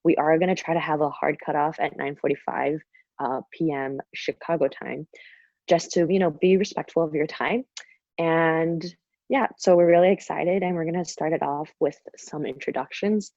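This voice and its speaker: Low-voiced young woman on a video call. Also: steady tone, moderate pace, a little mellow, no room sound. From Shanghai, China. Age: young adult. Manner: chatty, many fillers and "kind of". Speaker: student webinar host. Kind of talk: casual presentation. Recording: dull, dry video call audio.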